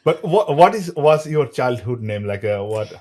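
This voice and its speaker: A man's voice: medium pitch, sing-song, normal speed, darkish tone. A man hosting an interview, asking questions with hesitant, searching phrasing and many fillers. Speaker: podcast host. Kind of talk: conversational interview.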